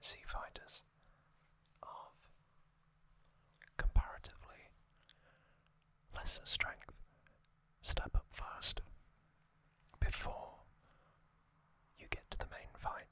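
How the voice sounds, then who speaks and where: An ASMR host narrates in a breathy whisper with a very bright, airy edge. The delivery is very slow, broken into short soft phrases with long pauses between them. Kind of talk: whispered ASMR narration recapping an anime series.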